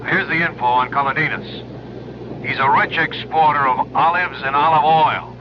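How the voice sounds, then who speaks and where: Actor as a terse American chief, muffled radio. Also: male voice, a little high, normal speed, background noise, close to an everyday voice. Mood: guarded, businesslike. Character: terse, cautious American male intelligence chief. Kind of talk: 1970s radio mystery drama acting. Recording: muffled, humming old radio broadcast recording.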